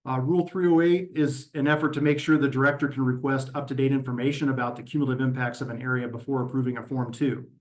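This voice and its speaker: A man delivers formal, prepared testimony as an advocate at a hearing. His mid-pitched voice moves methodically from point to point in a measured, orderly manner. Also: fairly even intonation. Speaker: environmental advocacy representative testifying at a regulatory hearing. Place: virtual meeting.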